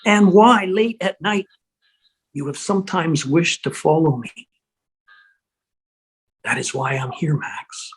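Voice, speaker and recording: Brief: performer as dead older brother apparition, menacing and taunting, husky, unhurried, video-call table read. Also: mid-range voice. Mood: accusing, menacing. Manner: slow, insinuating, taunting. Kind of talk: fan table read acting.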